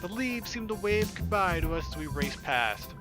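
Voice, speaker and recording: A man's voice: high-pitched, sing-song, normal speed. A man hosting a casual let's-play, reading game text aloud and adding joking, sarcastic asides. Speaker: gaming video host. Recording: game music behind the voice.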